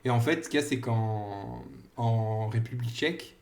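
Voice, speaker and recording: A man's voice: medium pitch, moderate pace, creaky, darkish tone. A man in his thirties co-hosting a podcast, telling rambling stories in casual chat and laughing often. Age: 30s. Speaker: podcast co-host.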